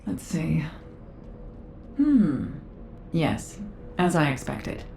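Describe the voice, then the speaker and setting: An actress playing an earnest, encouraging researcher in a video game, explaining in a precise, deliberate, didactic way. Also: female voice, huge pitch swings, gravelly, mellow, heavily acted. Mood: pensive. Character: earnest, encouraging female researcher, proud of her theory. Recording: echoey room, faint noise and rumble.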